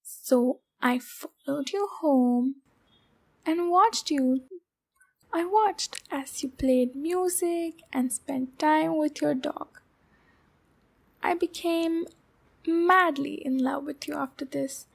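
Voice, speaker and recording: A woman playing a shy, lonely, obsessive demon girl in love, speaking slowly and intimately in an ASMR roleplay with a breathy, halting delivery. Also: high voice, heavily acted. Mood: curious, fond, then lovesick, sheepish. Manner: slow, breathy, halting, with soft giggles.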